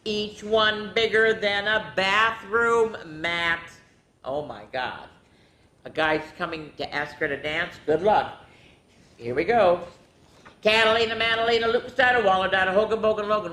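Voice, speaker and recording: Echoey woman reading children's rhymes aloud. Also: a bit bright. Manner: chatty, exclamatory, drawing out rhymed words. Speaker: children's story time host. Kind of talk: animated picture-book read-aloud with sing-song rhymes and casual asides. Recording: echoey room with background rumble noise.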